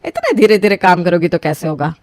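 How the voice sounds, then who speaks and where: A woman's voice: on the low side, very animated, brisk, dry recording. A woman voicing a demanding middle-aged mother-in-law in a story, coaxing and bossy. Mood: bossy, hurrying.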